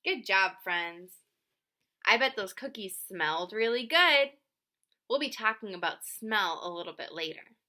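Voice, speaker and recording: A woman reading a story aloud for young children, expressive and speaking to them directly. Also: medium pitch, unhurried. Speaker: children's story reader, teacher.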